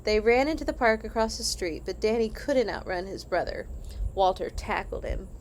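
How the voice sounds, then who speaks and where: Expressive woman reading a children's storybook. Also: medium pitch, moderate pace, a little hiss or hum. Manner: animated, acts out dialogue. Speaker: church children's worker reading aloud.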